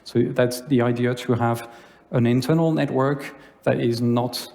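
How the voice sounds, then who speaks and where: A man from France with a French accent giving a conference talk in English, pausing often with filler sounds. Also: mid-range voice, steady tone, creaky, mellow. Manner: hesitant, many filler sounds. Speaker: conference speaker. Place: lecture hall. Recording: long reverb of a hall.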